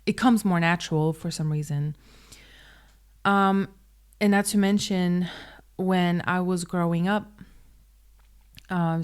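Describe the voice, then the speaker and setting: A woman's voice: lowish voice, clear, resonant tone. A woman in her twenties, a native German speaker, talking earnestly to camera in a casual personal vlog with frequent ums. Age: 20s. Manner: hesitant, many ums, open and earnest. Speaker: vlogger.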